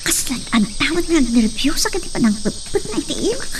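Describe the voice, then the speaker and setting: An actress playing a young woman nervously learning to fire a gun, exclaiming in a high, fretful voice. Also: sing-song, fairly quick, background noise. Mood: anxious.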